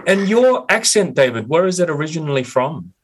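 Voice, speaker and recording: A man co-hosting a radio show in the studio, asking questions in a measured way with frequent ums. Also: fairly high pitch, big pitch swings, slightly rough. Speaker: radio co-host.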